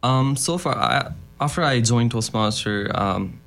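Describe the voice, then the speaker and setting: A man's voice: mid-range voice, a little slow, vocal fry, a bit bright. Young man, earnest and hesitant. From Nepal. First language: Nepali. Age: young adult. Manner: earnest, hesitant with fillers and restarts. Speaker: student guest. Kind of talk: interview answers.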